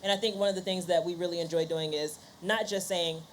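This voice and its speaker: A woman's voice: fairly low pitch, steady tone, fast talker, a little hiss or hum, poor audio. A woman podcast host speaking conversationally on a panel, voicing little quotes as she explains.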